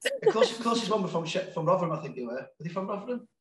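A man's voice: sits high, sing-song, rapid-fire, roomy sound. A man with a Sheffield accent, a guest on a podcast, chatting casually and self-deprecatingly, with lots of laughter and restarts. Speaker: guest, music label founder.

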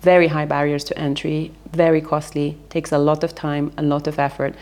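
A woman's voice: low voice, little pitch movement, fairly quick, darkish tone. A woman in her thirties, from England, telling her career story candidly in a casual interview, with frequent fillers. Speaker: interviewee, company founder.

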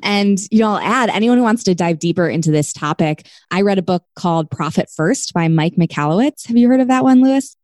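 A woman's voice: medium pitch, lively intonation, fairly quick, few pauses, darkish tone, no room sound, studio quality. A woman hosting an interview podcast, candid and laughing easily as she frames long questions. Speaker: podcast host. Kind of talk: conversational interview.

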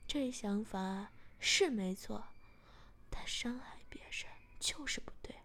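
A woman voicing a calm, domineering young man in a whispered storybook reading. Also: a little high, creaky, breathy edge, full character voice. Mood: cold, controlling. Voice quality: whispered, measured.

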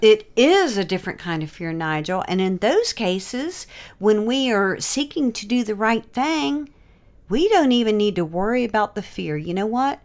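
A woman's voice: medium pitch, sing-song, normal speed. A woman host teaching in an earnest, conversational devotional style, often posing rhetorical questions as she explains. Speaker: host and storyteller.

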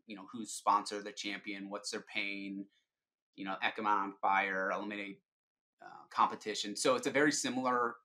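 A man's voice: fairly low pitch, bright, forceful tone. A middle-aged man giving informal, explanatory answers as a sales leader being interviewed on a podcast.

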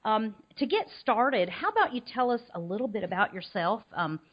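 A woman's voice: mid-range voice, very animated, normal speed, husky. A woman hosting a business podcast in a cheerful, chatty interview style. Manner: cheerful and chatty, with some fillers. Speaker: podcast host. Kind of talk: casual interview chat. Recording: dull lo-fi podcast audio.

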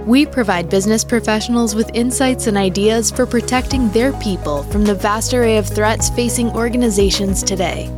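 A woman's voice: mid-range voice, normal speed, few pauses. A woman reading a polished, scripted podcast introduction as announcer. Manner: polished, even announcer delivery. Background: music bed.

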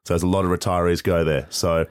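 A man's voice: low-pitched, little pitch movement, quick pace, smooth, no room sound. A man in his thirties from Melbourne with an Australian accent, a radio host chatting casually in a studio, laid-back and laughing often, a little sick with a cold. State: has a cold. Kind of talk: casual chat. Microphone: studio mic.

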